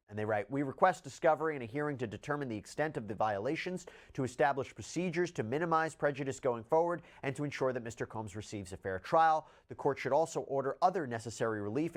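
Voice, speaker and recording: A man working as a legal commentator, reading filings aloud and explaining them in an articulate way, stressing key words. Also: mid-range voice.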